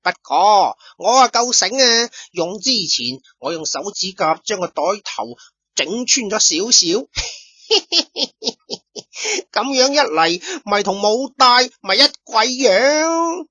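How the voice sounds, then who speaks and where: A man's voice: sits high, expressive, slow, pushed and bright, breathy edge, dry recording, voice pushed up, exaggerated voice. A man voicing a crude, boastful young street punk who brags and cackles. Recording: dull lo-fi recording.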